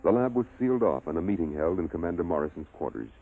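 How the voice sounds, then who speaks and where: Very deep radio drama narrator. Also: male voice, big pitch swings, heavily acted. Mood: grave, measured. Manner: deliberate, scripted storytelling. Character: grave male science fiction storyteller. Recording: dull old radio recording, clear music behind. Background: music.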